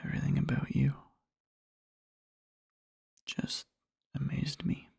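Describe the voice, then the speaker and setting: A man playing an adoring boyfriend in a soft ASMR roleplay, murmuring slowly and tenderly to a sleeping partner in a breathy, husky whisper. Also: no echo, studio quality, close to an everyday voice. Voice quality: breathy, husky, with creaky fry. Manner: slow, halting, tender murmuring.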